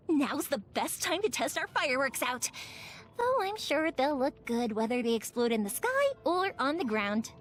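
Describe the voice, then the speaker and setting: An actress voicing a cheerful, chatty young woman who makes fireworks in a video game, playful and expressive. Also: high voice, exaggerated voice. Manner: bubbly, expressive, talkative. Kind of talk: animated video game character voice acting.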